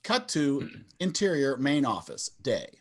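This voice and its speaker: A man's voice: mid-range voice, huge pitch swings, measured pace. A man reading scripted stage directions as narrator of a table read over a video call.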